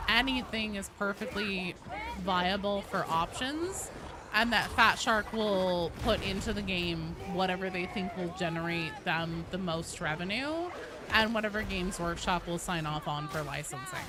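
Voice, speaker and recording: A woman's voice: mid-range voice, a little slow, background noise. A woman streaming a game, chatting casually in a blunt, rambling, sweary way as she plays. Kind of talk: casual chat while playing a game live.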